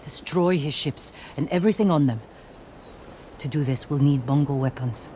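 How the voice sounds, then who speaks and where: An actor playing a pragmatic, loyal fighter in a video game, talking in plain, clipped, practical phrases. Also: male voice, on the high side, moderate pace, warm tone, slight background noise, close to an everyday voice. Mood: determined. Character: pragmatic male fighter, loyal companion scouting enemies. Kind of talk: video game cutscene acting.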